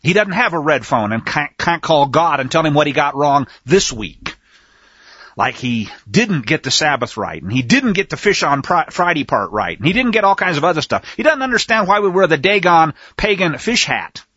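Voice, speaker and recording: Animated, husky-voiced man preaching scripture. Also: fairly high pitch, normal speed, no echo, dull audio. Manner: emphatic, sarcastic asides, frequent restarts. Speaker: Bible teacher. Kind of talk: folksy scripture commentary.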